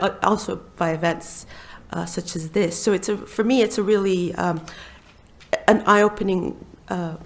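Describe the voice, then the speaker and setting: A woman in her forties speaking as a panelist, earnest and measured, with frequent hesitations. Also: fairly low pitch, creaky. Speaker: library learning officer on a panel. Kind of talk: live panel discussion talk.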